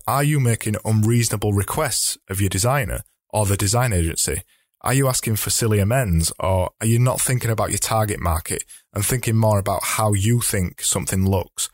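Slightly hoarse, low-voiced male podcast host. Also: no room sound, studio quality. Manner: chatty, explanatory, with frequent restarts. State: slightly hoarse, losing his voice. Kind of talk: solo advice podcast talk.

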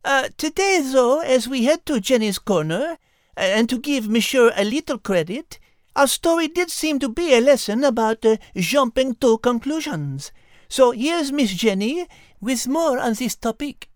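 A dainty, bookish French cat for a storybook podcast. The voice is high and very dry, with no room sound. The delivery is formal and precise, a little prim and very sure of its own intellect. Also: female voice, expressive, unhurried, dry recording. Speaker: podcast co-host in character. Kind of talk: audiobook character voice and in-character banter.

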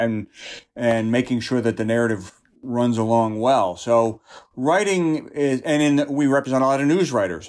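A man speaks as a guild representative in a conversational interview. His pitch is average for a man. He explains in a halting way, often repeating a word or phrase before moving on. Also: a little mellow.

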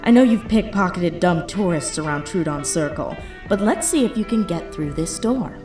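A woman playing a brisk, sardonic hacker leading a heist in an audio drama, blunt and casual in delivery. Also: on the low side, lively intonation, lightly acted. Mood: brisk, businesslike. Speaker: voice actor in a fiction podcast. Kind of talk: naturalistic audio drama acting. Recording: roomy echo, faint music underneath.

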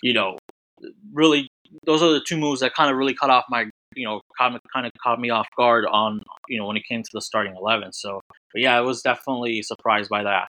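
A man, a football writer, talking casually as a podcast guest, halting and full of restarts. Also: medium pitch, steady tone, bright, forceful tone, poor audio. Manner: halting, many restarts and fillers. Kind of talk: casual sports podcast chat.

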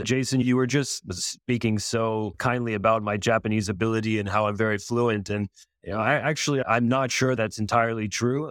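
A man's voice: lowish voice, no echo, clean studio sound. A man on a casual panel discussion, speaking earnestly and encouragingly.

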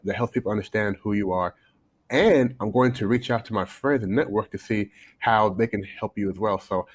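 A man in his forties with a North American accent, telling his story conversationally as a podcast guest. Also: lowish voice. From Canada, then United States from age eight. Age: 40s. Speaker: life coach, podcast guest.

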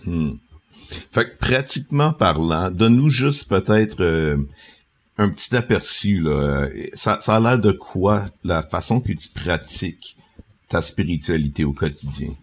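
A male host asking calm, measured interview questions. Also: deep voice.

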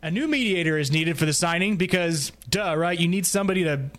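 Higher-pitched man reading a prepared summary. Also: animated, brisk, fairly bright tone. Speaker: podcast guest presenter.

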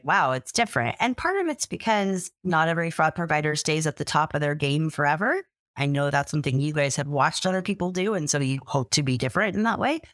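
A woman's voice: sits low, no echo, clean studio sound. A woman hosting a podcast interview, telling her story with animation and acting out what other people said. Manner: animated storyteller, acts out quotes. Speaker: podcast host.

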